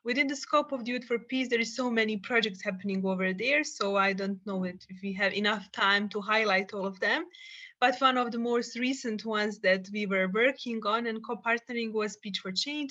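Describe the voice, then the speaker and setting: A woman's voice: on the high side, pushed and bright. A young woman from Bosnia and Herzegovina, speaking thoughtfully as a podcast guest and often restarting her sentences. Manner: thoughtful, searching for words, frequent restarts. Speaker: guest, psychologist and peace builder. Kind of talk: podcast interview, remote. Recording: dull lo-fi sound.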